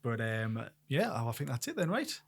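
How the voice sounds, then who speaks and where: A man co-hosting a casual chat about tabletop wargame army lists, explaining in a rapid, chatty way. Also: mid-range voice, big pitch swings. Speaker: co-host.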